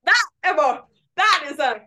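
Young woman podcast cohost, bright voice, earnest, lots of likes, over a video call. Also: high voice, animated, moderate pace, slight background noise. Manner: earnest, chatty, filler-heavy. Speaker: podcast co-host. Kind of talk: casual chat.

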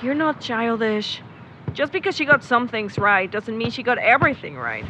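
A woman's voice: on the high side, expressive, normal speed, bright, forceful tone, a little hiss or hum, poor audio. A woman playing a supportive, teasing young traveller in an audio drama, conversational and reassuring. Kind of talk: naturalistic audio drama acting.

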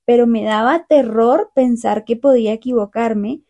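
A young woman hosting a learner podcast, talking through a calm, clear, scripted monologue. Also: on the high side, normal speed. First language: Spanish. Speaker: podcast host.

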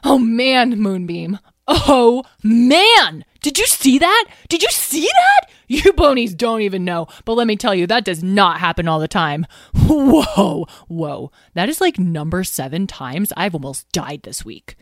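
A woman voicing a rowdy, thrill-seeking young dragon who gushes excitedly. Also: fairly low pitch, takes it slowly, vocal fry, few pauses, no echo, studio quality, exaggerated voice. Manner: breathless, gushing.

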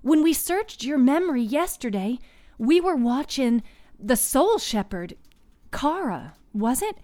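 A woman voicing an inquisitive, analytical young woman who reasons aloud haltingly in a storybook fantasy. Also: sits high, very animated, dark tone, no room sound, voice pushed up. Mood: analytical, probing.

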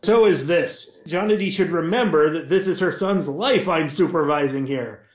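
Man putting on a blustering middle-aged lord, pompous, loud, impatient, dull roomy recording. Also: normal speed, natural voice. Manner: loud, high, hectoring.

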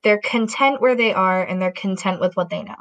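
A woman's voice: medium pitch, brisk, a bit bright. A woman in casual conversation who rambles, with many fillers and restarts. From Latin American heritage. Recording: dull lo-fi audio.

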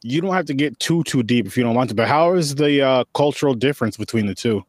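A male interviewer with a mid-pitched voice asks questions in a casual, enthusiastic way, often stumbling over his quick reactions. The sound is dry and close, with no room echo.

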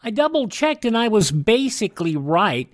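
A man's voice: high-pitched, very animated, moderate pace, over loud music. An American man hosting a radio show, presenting and reading news items. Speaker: radio host.

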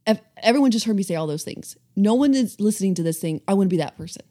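A woman in her thirties with a mid-pitched voice co-hosts a casual faith podcast. She talks earnestly and rambles, restarting her sentences as she thinks aloud. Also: animated, fast, mellow. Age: 30s. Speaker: podcast co-host.

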